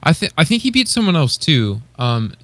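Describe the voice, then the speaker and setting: A man's voice: a little high, very animated, normal speed, fairly bright tone, dry recording. A man co-hosting a podcast, chatting casually and giving informal, appreciative opinions. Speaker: podcast co-host.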